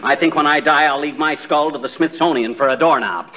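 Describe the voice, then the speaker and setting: An actor playing a blustering, self-mocking husband in 1940s radio comedy, with an American accent and a folksy, grumbling manner. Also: male voice, fairly high pitch, brisk, lightly acted. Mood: exasperated self-scorn. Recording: noisy, crackly 1940s radio broadcast.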